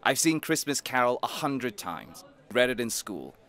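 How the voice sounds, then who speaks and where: A man's voice: mid-range voice, big pitch swings, unhurried, a bit bright. An actor playing a wealthy, dismissive, dryly irritated man in an audio drama. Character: wealthy cynical modern man, irritated, Scrooge-like skeptic.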